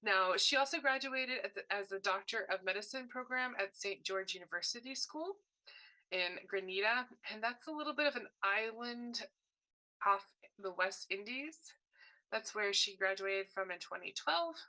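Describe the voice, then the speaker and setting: A woman with a bright, forward voice interprets a signed workshop presentation aloud. She speaks in short bursts and rephrases as she goes, correcting words partway through. Her pitch sits in an ordinary middle range. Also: animated, normal speed, low-quality recording. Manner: short broken phrases with frequent self-corrections. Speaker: sign language voice interpreter.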